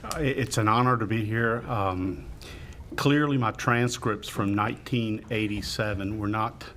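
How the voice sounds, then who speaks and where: A man from the Dominican Republic introduces himself as a new board member, humble and good-humored. His voice sits fairly low, with faint room noise behind. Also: measured pace, a bit bright.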